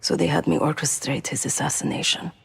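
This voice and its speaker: A woman acting a hardened, guilt-haunted former assassin in a video game drama, speaking in a measured, intense way. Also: sits low, gravelly, slightly rough, full character voice. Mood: subdued, confiding.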